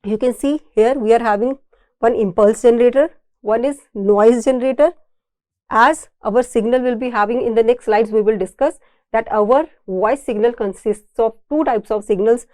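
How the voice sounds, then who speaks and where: A woman's voice: on the high side, normal speed, darkish tone, no echo. A woman with an Indian accent giving an explanatory technical lecture, repeating and rephrasing her points in a didactic way. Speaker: engineering lecturer.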